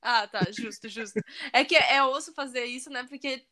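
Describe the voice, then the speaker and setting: A young woman with a São Paulo accent and a strong R, chatting casually and telling little stories in an animated, slangy way. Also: on the high side, normal speed. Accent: São Paulo Brazilian Portuguese with strong R. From São Paulo state, Brazil. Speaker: conversation partner. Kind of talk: casual chat.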